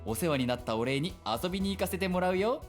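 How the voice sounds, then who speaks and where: A man voicing a genial, sly young police officer in a visual novel game, polite and gently teasing. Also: high-pitched, lively intonation, racing, faint music behind. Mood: playful.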